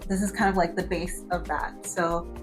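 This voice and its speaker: A woman's voice: lowish voice, flat delivery, moderate pace, over music. A woman in her thirties vlogging while doing her hair, chatting casually with lots of fillers and restarts. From Canada. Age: 30s. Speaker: medical student and vlogger.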